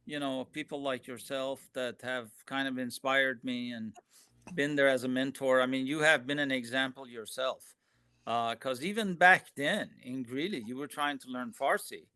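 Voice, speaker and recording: A man's voice: mid-range voice, moderate pace, sharp tone, clear, resonant tone, no echo. A man whose first language is Persian, hosting an interview in a friendly, hesitant way with many fillers. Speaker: interview host. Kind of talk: interview conversation.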